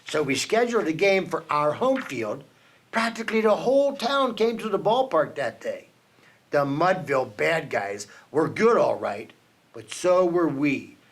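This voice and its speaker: Man, children's book read-aloud, high voice, very expressive swings, slow unhurried delivery, dramatic pauses. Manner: animated storytelling with dramatic pauses. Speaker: children's book reader for a team reading program.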